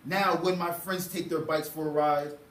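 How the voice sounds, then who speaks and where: A young man reading a children's story aloud to a class with expressive, dramatic phrasing, as a student teacher. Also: on the high side, moderate pace. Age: young adult. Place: indoor school classroom. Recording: roomy, distant mic, faint noise.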